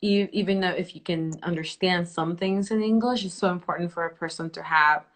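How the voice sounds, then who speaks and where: A young adult woman from El Salvador, whose first language is Spanish, hosting an interview with soft-spoken, thoughtful questions and frequent pauses. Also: lowish voice. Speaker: host. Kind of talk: interview host.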